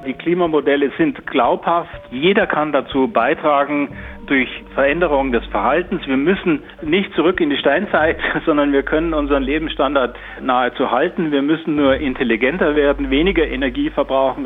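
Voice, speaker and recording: Male expert, thin phone-like sound, mid-pitched, earnest, deliberate explanatory statement. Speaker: expert, professor. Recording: tinny, narrow phone-like band.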